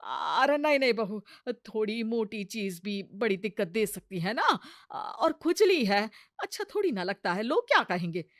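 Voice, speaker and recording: A woman playing a stubborn, hot-tempered village mother-in-law in a comic family story, loud and theatrical. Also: medium pitch, sing-song, normal speed, few pauses, dry recording, clean studio sound. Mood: alarmed.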